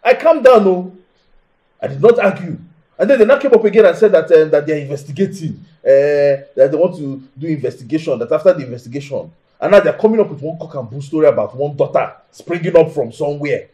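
Man acting indignant Nigerian husband, entitled, hot-tempered, film drama, very animated pitch, repeats himself. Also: fairly quick, lo-fi sound, close to an everyday voice. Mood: agitated, suspicious. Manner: indignant, repetitive, rhetorical questions.

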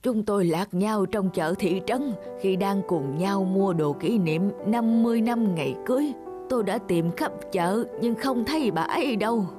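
A woman's voice: medium pitch, expressive, moderate pace, lightly acted. Woman voicing an anxious old husband, music behind. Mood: worried. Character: anxious, loving elderly husband in a cartoon. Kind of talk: cartoon dub acting.

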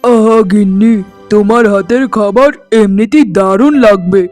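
A man voicing a gentle young village farmer and devoted husband in a cartoon, mild and folksy. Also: sits high, moderate pace, close to an everyday voice. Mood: affectionate. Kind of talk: cartoon voice acting. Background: music.

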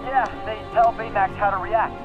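Man playing a high-voiced helpful teenage boy genius. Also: fairly even intonation, moderate pace, narrow, tinny audio, natural voice. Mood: uneasy. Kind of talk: video game cutscene acting. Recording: dramatic game music behind.

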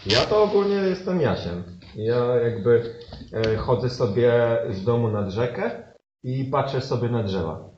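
A man playing a simple, earnest village boy with a naive, slightly halting way of talking, in improvised fairy-tale comedy. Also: mid-range voice, expressive, darkish tone, lightly acted. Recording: echoey live room, muffled and noisy.

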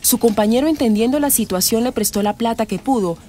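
A woman's voice: a little high, brisk, warm tone, natural voice. A Colombian woman narrating a scripted radio soap opera as its storyteller.

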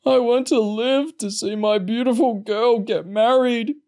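A man's voice: very high-pitched, fairly even intonation, a little slow, darkish tone, pitched above the performer's own voice. A man voicing a big, fat, drunken and pompous man who blusters groggily in an audiobook. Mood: maudlin gasping. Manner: groggy, indignant bellowing and moaning.

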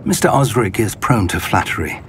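A man playing a kindly, learned older wizard professor in a video game, with a measured, formal, articulate way of talking. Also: lowish voice, big pitch swings, slight background noise. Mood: measured, explanatory. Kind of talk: cinematic game dialogue acting. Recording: music under the dialogue.

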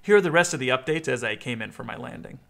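An American man in his thirties giving a clear instructional explainer as a video host. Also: mid-range voice, lively intonation, quick pace, noisy background.

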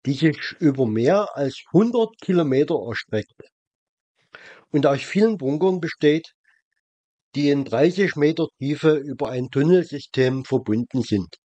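A man's voice: on the high side, lively intonation, a little slow, mellow, dry recording, highs cut off. A man narrating a travel vlog in voice-over as the channel's host. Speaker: vlogger, narrator.

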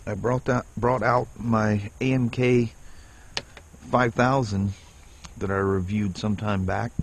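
A man's voice: fairly low pitch, steady tone, slow, slight background noise. Rambling man unboxing gear outdoors. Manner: rambling, self-deprecating, thinks aloud. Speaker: vlogger reviewing gear. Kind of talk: casual unboxing and ride vlog. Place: outdoors on a rural property. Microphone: action camera held in hand.

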